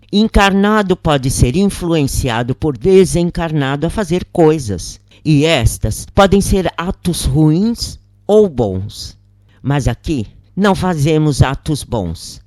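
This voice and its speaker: A narrator voicing a boastful male boss of a dark spirit realm, commanding and emphatic, in a story reading. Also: mid-range voice, big pitch swings, slow, dry recording, studio quality. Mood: matter-of-fact authority.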